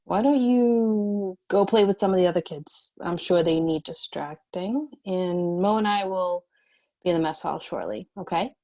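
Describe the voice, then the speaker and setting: A woman playing a guarded but caring leader in a screenplay table read, measured and matter-of-fact. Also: on the low side, mellow, lightly acted. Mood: reassuring.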